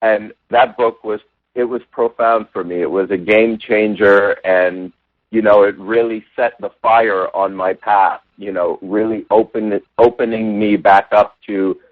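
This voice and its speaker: Low-voiced man on a tinny line. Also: steady tone, a little slow, no echo. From Jamaica. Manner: thoughtful, many restarts and "you know" fillers. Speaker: interview guest, religious science practitioner. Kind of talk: reflective personal storytelling. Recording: tinny phone-like line, dry.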